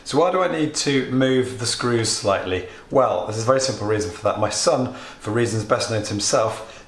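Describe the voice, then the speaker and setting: A British man walks through a home repair in a chatty, plain way, his voice a bit hoarse from a cold. The room rings with echo, and a faint hiss sits under the sound. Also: medium pitch. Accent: British English. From United Kingdom. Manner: chatty, explains steps plainly with small asides. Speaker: DIY video host. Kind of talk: relaxed DIY tutorial. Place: home workshop or kitchen.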